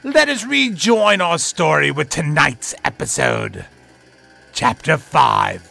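A man's voice: fairly high pitch, sing-song, creaky, pushed and bright, heavily acted. A man playing a creepy old lord who hosts a horror story with mock-sinister jokes. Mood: sinister-playful. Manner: mock-sinister, joking, drawn-out delivery. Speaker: host. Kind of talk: theatrical horror host intro.

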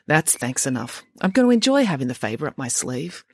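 A reader voicing a precise, easily embarrassed schoolgirl detective, in a crisp, matter-of-fact manner. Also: medium pitch, very animated, a little fast, a little mellow, dry recording, clean studio sound.

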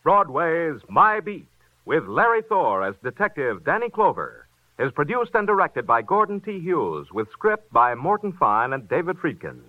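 High-pitched vintage male radio announcer. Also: big pitch swings, moderate pace, phone-quality sound, slight background noise. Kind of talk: 1940s scripted radio announcing. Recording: old band-limited radio broadcast with music.

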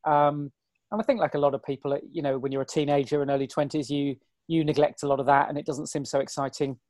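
A man in his forties, a guest on an interview, talking in a chatty, self-deprecating, rambling way with a hoarse, weary voice. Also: fairly high pitch, fairly even intonation, fast, a little mellow, dry recording, clean studio sound. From Britain. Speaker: guest, ultrarunner. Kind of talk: casual interview chat.

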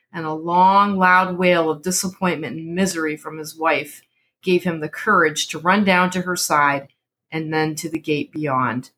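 A woman podcast host who reads stories dramatically and chats casually. Her voice is somewhat low for a woman, with a bright, crisp, forceful edge. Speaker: podcast host and story reader. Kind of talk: dramatic story narration and casual podcast chat.

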